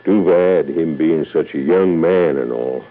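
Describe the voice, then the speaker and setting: A kindly old family doctor on an old radio drama. The voice sits very low, with a folksy, courteous, unhurried manner. The sound is narrow and muffled like a vintage broadcast. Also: male voice. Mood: sombre, kindly. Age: older.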